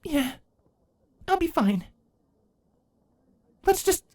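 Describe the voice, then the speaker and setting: A man's voice: very high voice, sing-song, very slow, darkish tone, pitched above the performer's own voice, heavily acted. A man voicing a troubled young female changeling, proud and wounded, in a fantasy story reading. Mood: shaken.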